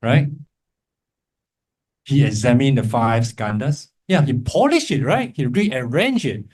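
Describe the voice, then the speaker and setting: A male Buddhist teacher leads an interactive class in an informal, encouraging way. He explains step by step and keeps checking in with little tag questions, at an average pitch for a man. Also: lively intonation, measured pace, darkish tone, breathy edge. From Malaysia. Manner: encouraging, informal, frequent check-in tags like "right?" and "okay?". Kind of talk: interactive lecture to a class with remote listeners.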